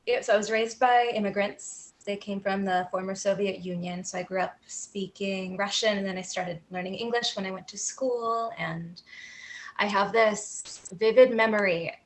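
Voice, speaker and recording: Soft-spoken, wry woman guest. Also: mid-range voice, fairly even intonation, normal speed. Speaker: guest author. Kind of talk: reflective interview answers.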